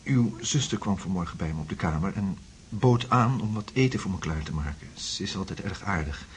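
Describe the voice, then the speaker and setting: A man's voice: sits low, expressive, brisk. An actor in a radio drama playing a troubled, hesitant, apologetic man, on a noisy recording. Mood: uneasy, confused. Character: troubled, anxious man, ashamed and unsure of himself.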